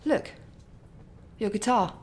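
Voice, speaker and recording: A woman's voice: medium pitch, lively intonation, slow pace, a little hiss or hum. An actress playing a middle-aged mother visiting her son in hospital, defensive and remorseful. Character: chaotic, guilt-ridden middle-aged mother. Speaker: patient's mother. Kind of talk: radio play acting.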